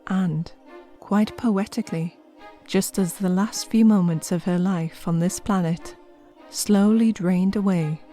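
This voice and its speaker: A woman's voice: medium pitch, slow, mellow, faint music behind. A woman narrating scripted comedy horror, with wry, exasperated asides to the listeners.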